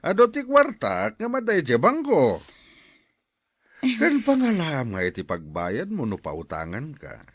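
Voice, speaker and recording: A man's voice: fairly high pitch, huge pitch swings, measured pace, husky, exaggerated voice. Man playing a scornful rich older villager, wildly swooping delivery. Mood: mocking, dismissive. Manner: scornful, sneering, incredulous. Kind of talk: radio drama acting.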